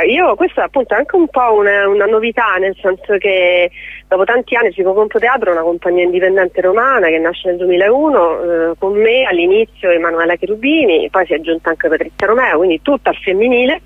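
A woman's voice: medium pitch, animated, brisk, dry recording. A woman in her forties chatting casually as an interview guest, self-deprecating and joking, with a digressive way of talking. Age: 40s. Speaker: theatre playwright, director and actress, interview guest. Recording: band-limited, telephone-like radio sound.

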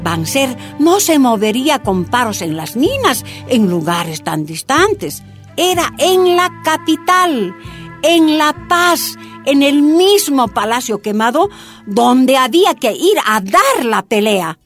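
A woman's voice: sits high, big pitch swings, slow, faint music behind, full character voice. A woman playing a determined Bolivian activist telling her own story in a radio drama. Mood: resolute. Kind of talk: first-person radio drama narration.

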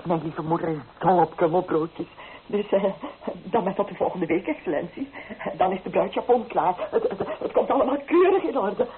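An actress playing a humble, grateful seamstress in a Dutch radio drama, deferential, hesitant and fussy. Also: female voice, fairly low pitch, animated, moderate pace, narrow, tinny audio, close to an everyday voice. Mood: grateful, flustered. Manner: obsequious, hesitant, repeating herself. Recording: band-limited old radio recording with clicks.

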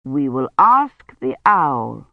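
A woman voicing a kindly male bear from a fairy tale, speaking in a measured, grave and gentle way. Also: sing-song, creaky, full character voice. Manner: slow, measured. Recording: roomy, muffled low-fidelity audiobook.

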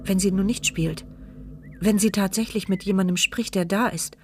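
A woman's voice: medium pitch, steady tone, normal speed, background noise, natural voice. An actress voicing the inner thoughts of a helpless, mute woman in a radio crime drama, inward and watchful. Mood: rising fear. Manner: inward, watchful, tense phrasing. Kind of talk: first-person radio drama monologue.

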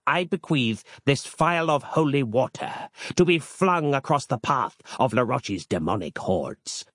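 A man's voice: mid-range voice, expressive, a little slow, fairly bright tone, no room sound, studio quality, pitched above the performer's own voice. A man voicing a pompous, archaic warrior-monk who speaks in a bombastic, sermonizing way. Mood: grandiloquent. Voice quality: theatrical, archaic speech.

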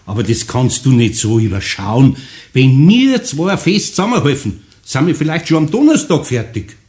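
A man's voice: medium pitch, big pitch swings, moderate pace, darkish tone, close to an everyday voice. Casting: male actor for older Bavarian master carpenter, kindly, homely, patient, children's audio drama. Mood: reassuring. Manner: homely, good-natured dialect speech. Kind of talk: radio play acting for children.

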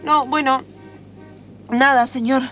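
A woman's voice: sits high, fairly even intonation, slow, pushed and bright, soft background music, heavily acted. A woman playing a dreamy, naive young woman cyclist in improvised radio theatre, emotional and hesitant, with an Argentine accent. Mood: flustered.

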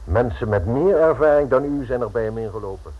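An actor playing a haughty, condescending society lawyer in a radio play, formal and scornful in manner. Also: male voice, medium pitch, expressive, brisk, lightly acted. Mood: dismissive. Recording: muffled old radio recording.

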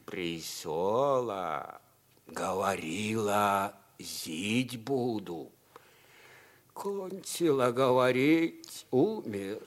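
An actor in a 1950s radio play voicing an old native Arctic herder, simple and stubborn, speaking halting broken Russian. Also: male voice, lowish voice, animated. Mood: solemn, recounting. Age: older figure.

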